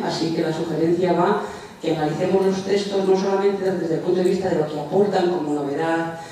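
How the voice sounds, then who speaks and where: A woman's voice: low-pitched, dark tone. A woman with a Spanish accent from Spain speaks hesitantly from the floor, offering a comment at an academic panel. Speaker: audience member making a suggestion at an academic panel. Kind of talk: spontaneous public comment from the floor. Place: large echoey indoor conference room. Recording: echoey room, distant mic, faint noise.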